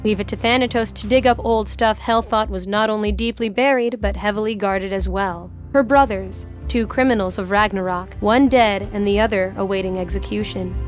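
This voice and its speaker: A woman's voice: medium pitch, steady tone, moderate pace, hardly any pauses, faint music behind. A woman narrating a scripted story for an audio drama.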